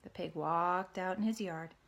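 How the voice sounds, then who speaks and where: A woman narrating a children's storybook in an expressive read-aloud style. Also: on the low side, measured pace, gravelly. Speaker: storybook reader.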